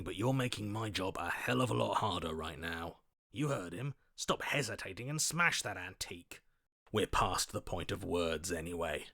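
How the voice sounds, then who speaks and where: A man playing a stubborn, combative inner voice in a video game, forceful, scornful and commanding. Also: low voice, vocal fry, no echo, clean studio sound, full character voice. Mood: angry contempt. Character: stubborn, combative male inner voice itching for a fight. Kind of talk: dramatic video game voice acting.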